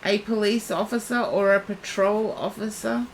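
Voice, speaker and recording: A woman giving a psychic reading on a live stream, soothing and reassuring, her voice going husky and hoarse. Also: mid-range voice, steady tone, normal speed, slight background noise, poor audio. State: losing her voice.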